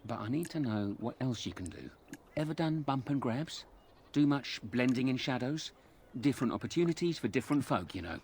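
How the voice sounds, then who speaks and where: An actor playing a chatty, sly ex-burglar turned fence in a video game, talking in colloquial patter. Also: male voice, mid-range voice, animated, fairly quick, darkish tone, breathy edge. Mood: sly, chummy. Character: cheeky roguish male thieves' fence, ex-burglar with a conscience. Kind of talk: video game character dialogue.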